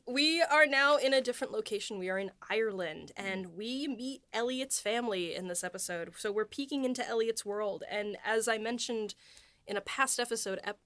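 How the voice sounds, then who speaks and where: A woman's voice: mid-range voice, a bit bright, dry recording. A woman hosting a behind-the-scenes podcast in a loose, chatty way with plenty of fillers. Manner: chatty, many fillers and light laughs. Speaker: podcast host and writer. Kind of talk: casual podcast chat.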